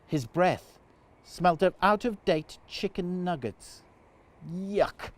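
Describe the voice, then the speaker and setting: A man reading a children's story aloud as a storyteller, with dramatic, deliberate phrasing. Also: on the high side, very animated, darkish tone. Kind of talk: expressive children's story narration.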